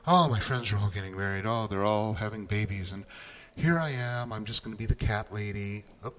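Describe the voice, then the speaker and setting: A man's voice: on the low side, a little fast, lightly acted. A man voicing an anxious young woman who frets that she will stay single, in a whiny sing-song way. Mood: fretting. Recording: echoey, dull lo-fi room sound.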